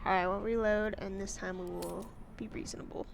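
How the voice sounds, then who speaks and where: A woman's voice: medium pitch, fairly even intonation, a little fast, vocal fry, noisy background. A woman giving casual let's-play commentary, chatty, exclaiming at setbacks and making wry, self-mocking asides. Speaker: gamer. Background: game music.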